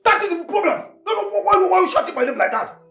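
An actress playing a defiant, stubborn household servant with a Nigerian accent, in repetitive, theatrical outbursts, recorded with roomy echo. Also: female voice, very high voice, expressive, racing, fairly bright tone. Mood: offended. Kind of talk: Nigerian comedy-drama film acting.

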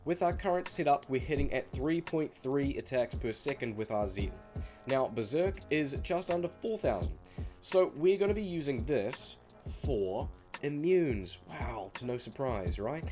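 Croaky young man, casual gamer. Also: medium pitch. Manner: casual, swears freely, addresses viewers as boys. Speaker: gaming streamer. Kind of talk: casual game guide walkthrough. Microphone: headset mic at a desk.

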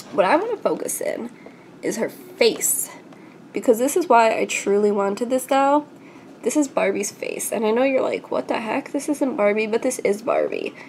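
A young woman with a stuffy, congested voice talks through a collectible doll, chatty and enthusiastic with plenty of fillers. Her voice sits a little high. The delivery is casual and unscripted, like a home review vlog. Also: gravelly, a little mellow, a little hiss or hum. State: congested from a recent illness.

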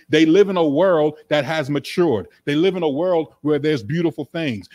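A middle-aged man, a pastor, teaching from the Bible in an emphatic sermon style, repeating his points with animated gestures, recorded in a small indoor studio on a desk stand mic. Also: a little high. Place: small indoor studio room with couch and curtain. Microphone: desk stand microphone.

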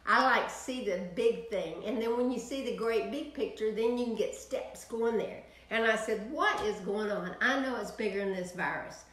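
A woman's voice: a little high, moderate pace, hardly any pauses, roomy sound, low-quality recording. An older woman with a Texan accent, preaching to an online audience from a home office. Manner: animated, preaching with sweeping hand gestures. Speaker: minister. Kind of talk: prayer broadcast sermon.

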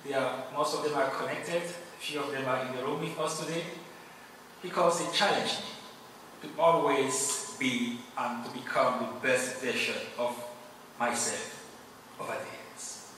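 A man from Cameroon, a native Yemba speaker, giving an earnest, grateful award acceptance speech with measured pauses. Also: mid-range voice, sharp tone. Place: large hall. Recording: echoey hall, distant mic, noisy.

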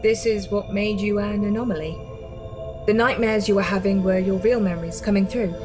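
A woman's voice: mid-range voice, steady tone, brisk, music in the background, natural voice. A woman playing a composed resistance medic in a radio drama, explaining things in a blunt, matter-of-fact way. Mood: blunt, sober.

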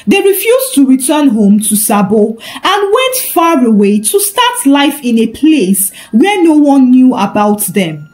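A woman's voice: high-pitched, a little mellow. A woman telling a scripted folktale as narrator. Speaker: storyteller and channel host.

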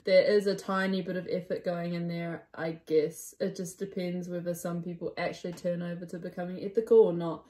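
A woman's voice: lowish voice, flat delivery, fairly quick, hardly any pauses, dark tone. A woman co-hosting a casual vlog, chatty and full of fillers, filmed on a phone at arm's length on a foggy country road. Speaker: co-host. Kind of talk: casual chat. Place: foggy rural road outdoors.

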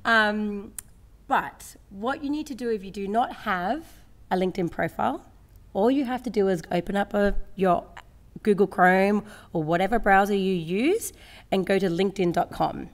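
A woman in her late thirties with a slightly croaky voice, giving a conversational, upbeat workshop talk from a lectern microphone in a large school hall. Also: mid-range voice, moderate pace. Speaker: company representative giving a workshop. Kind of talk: presentation to parents and teachers.

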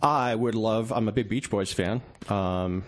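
A man's voice: fairly low pitch, unhurried. A man talking casually as an interview guest on a radio show.

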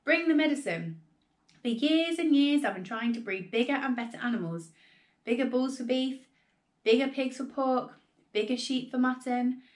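A woman voicing a small, excitable father on a farm in a children's storybook, exclaiming loudly and easily worked up. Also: sits high, normal speed, natural voice. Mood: eager. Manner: exclaiming, shouting, breathlessly excited.

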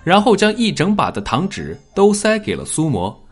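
A man narrating a scripted dramatic story in an expressive storytelling manner. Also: high-pitched, moderate pace, husky, faint music behind. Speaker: audiobook narrator.

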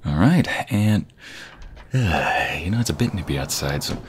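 A man playing a playful, doting young husband in an ASMR roleplay, with a breathy voice that often slips toward a whisper and a teasing, affectionate delivery. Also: sits low, very animated, full character voice. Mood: mock defeat. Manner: teasing, affectionate, drawn-out delivery with pet names.

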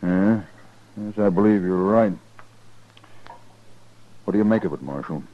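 A dull old radio recording of a stern, cautious army major. His voice is fairly low, measured and formal, acted in the style of a 1950s radio western. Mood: reluctant.

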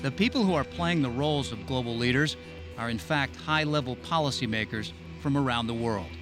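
A man's voice: medium pitch, brisk. Male documentary narrator over music. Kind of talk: scripted narration. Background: background music.

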